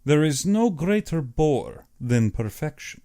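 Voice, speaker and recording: A man's voice: a little high, very animated, slow pace, warm tone. A man voicing an urbane, boastful, cold-blooded older general and hunter, pompous and precise in an audiobook. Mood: proud, self-assured. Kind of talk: audiobook character acting.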